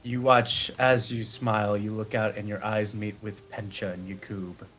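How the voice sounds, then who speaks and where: A man's voice: on the low side, little pitch movement, moderate pace, a bit bright. Man narrating as game master. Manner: descriptive scene-setting narration. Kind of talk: narrating a live tabletop game.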